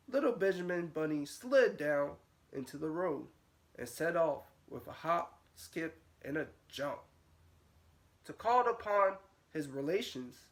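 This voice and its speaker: A male nursery teacher reads a picture book to little kids. His delivery is sing-song, lifting and dropping through the sentences as he tells the tale. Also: on the high side. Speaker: nursery school teacher reading aloud. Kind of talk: storybook read-aloud for young children.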